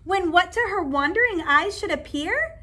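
A woman in her forties, a kindergarten teacher, reading a storybook aloud to young children in an expressive, animated way. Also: very high voice, moderate pace. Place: indoor classroom.

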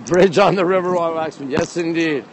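A middle-aged man hosting a casual walking live stream, chatty and excitable, often repeating himself as he reacts to viewers. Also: fairly high pitch, normal speed. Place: outdoors on busy city streets, walking. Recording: outdoor street and traffic noise.

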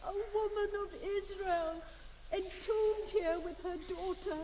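Desperate trapped woman in a radio play, super high slow voice, big cave echo. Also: fairly even intonation, dark tone. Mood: desperate pleading. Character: desperate entombed mother pleading weakly through a wall. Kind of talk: radio drama acting. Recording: long cavernous reverb.

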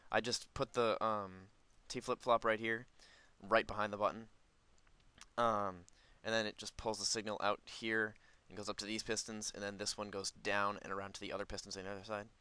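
Guy doing casual lets play commentary, lowish clear voice, kinda rambly thinking out loud, dry close mic. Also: level tone, normal speed, no echo. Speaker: gaming video host. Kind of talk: casual, unscripted gameplay commentary.

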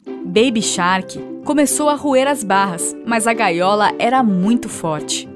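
A woman's voice: mid-range voice, takes it slowly, over music. A woman reading scripted narration for a children's cartoon story.

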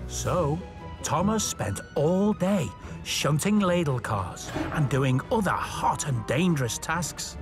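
A man narrating a children's story in an expressive, dramatic way. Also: a little high, slow. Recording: music under the narration. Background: music.

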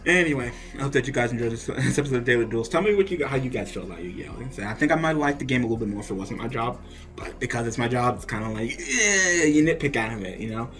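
A man's voice: mid-range voice, lively intonation, quick pace, fairly bright tone, faint music behind. A young man venting over a card game he just played, swearing and laughing in exasperation as he comments. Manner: ranting, profane, laughing in exasperation.

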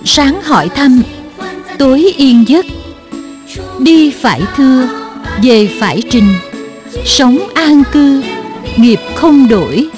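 A woman chanting short moral verses slowly and clearly for a children's cartoon. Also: high voice, lively intonation, over music. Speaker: verse reciter. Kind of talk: slow chanted verse recitation.

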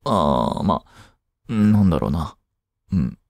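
A man playing an aloof young boyfriend in an intimate voice drama, grumpy but soft-hearted, speaking haltingly close to the mic. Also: low-pitched, expressive, vocal fry, no echo, full character voice. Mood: sheepish, evasive. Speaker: amateur voice drama creator and actor.

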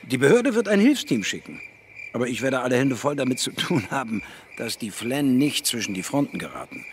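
An actor playing a weary male biologist narrating a science fiction radio play in a measured, matter-of-fact way. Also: mid-range voice, very animated, a little hiss or hum. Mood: subdued, reflective. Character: male biologist Ian, weary first-person narrator of alien expedition.

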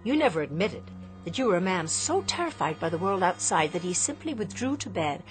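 An actress playing a sheltered, discontented young daughter, earnest and dramatic in a radio drama. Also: female voice, on the low side, big pitch swings, brisk, soft background music, rough recording. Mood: defiant, bitter.

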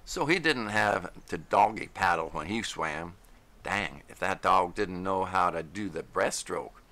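A man voicing a folksy older man who drawls in slow, plain rural speech. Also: sits low, animated, sharp tone, exaggerated voice. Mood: wistful. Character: folksy older man, grieving dog lover.

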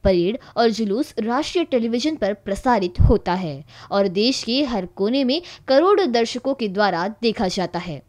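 A woman's voice: on the high side, expressive, moderate pace, few pauses, darkish tone. A woman reading a scripted news voice-over narration.

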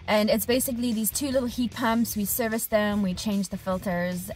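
Woman in her thirties, tradesperson vlogging in her truck, lively and expressive, mid-pitched, faint music behind. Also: normal speed, low-quality recording. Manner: animated, expressive, gesturing with hands. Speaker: HVAC technician and business owner. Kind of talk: casual vlog diary. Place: inside a parked truck cab. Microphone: camera on dashboard at arm's length.

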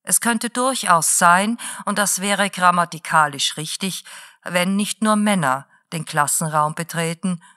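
A woman playing a late-night radio presenter who lectures in a slow, deliberate and didactic way. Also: fairly low pitch, sharp tone, no echo, clean studio sound. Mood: lecturing.

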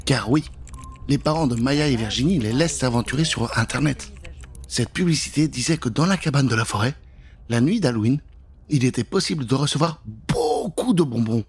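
A man's voice: medium pitch, expressive, moderate pace, husky. A middle-aged man narrating a spooky children's tale in a theatrical, playful way, speaking straight to the listeners on a close studio microphone. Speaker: storyteller, narrator. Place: dark studio booth. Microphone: close studio microphone with pop filter. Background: prominent music.

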